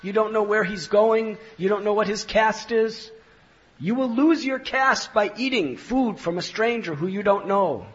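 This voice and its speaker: A man's voice: sits high, a little slow, muffled recording, pitched above the performer's own voice. A man voicing teasing young village women in a devotional story, insistent and speaking in short listed phrases.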